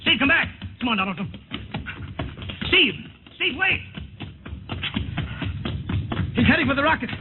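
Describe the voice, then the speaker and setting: A muffled old radio recording of a male flight commander. He talks quickly in a clipped, no-nonsense way, skeptical yet protective, in 1950s drama style. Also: extremely high pitch, big pitch swings, music in the background. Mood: alarmed.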